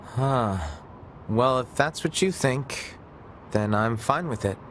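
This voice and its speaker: Slow-talking childlike younger brother. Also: male voice, medium pitch, a bit bright, slight background noise. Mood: childlike, restless. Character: childlike, impatient, playful younger-brother android. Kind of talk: English video game voice acting.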